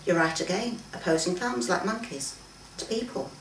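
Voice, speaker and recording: Woman reading as thoughtful gentleman father, roomy sound. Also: lowish voice, expressive, moderate pace, close to an everyday voice. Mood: musing, curious. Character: thoughtful, curious father, a gentleman amazed by animals. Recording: roomy, distant mic, faint background noise.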